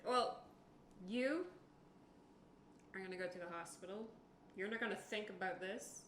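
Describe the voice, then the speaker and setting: Woman chatting thru a tabletop game, normal pitch, echoey with some noise. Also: normal speed, fairly bright tone. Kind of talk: casual improvised game chat. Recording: echoey room, clear background noise.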